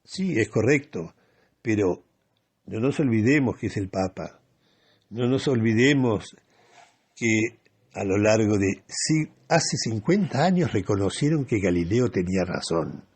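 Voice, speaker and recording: Slow, husky Argentine man giving radio commentary. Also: fairly low pitch, expressive, darkish tone. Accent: Rioplatense Argentine. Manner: opinionated, deliberate, with emphatic repetition and rhetorical questions. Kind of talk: spoken editorial commentary.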